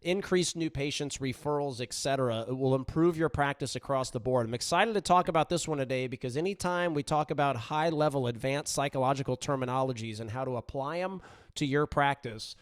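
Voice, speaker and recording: A man hosting his own podcast in an upbeat, energetic manner, his voice still slightly raspy from a recent voice loss. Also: mid-range voice, fairly quick, no room sound. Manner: upbeat, energetic, lots of shout-outs. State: recovering from voice loss. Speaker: podcast host. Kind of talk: casual podcast monologue.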